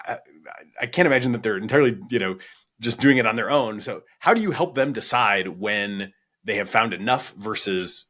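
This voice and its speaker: A man's voice: lowish voice, a bit bright. A man hosting a podcast interview, thinking aloud conversationally as he builds long, discursive questions.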